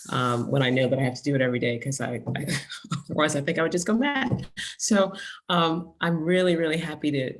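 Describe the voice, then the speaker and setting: A woman PE teacher introducing herself in a chatty, animated way on a video call, with many restarts. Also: deep voice. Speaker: physical education teacher.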